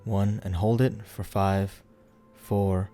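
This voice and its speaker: Deep, mellow man guiding a meditation. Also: flat delivery. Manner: soothing, unhurried, instructional with pauses. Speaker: guided meditation leader. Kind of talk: guided meditation narration. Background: background music.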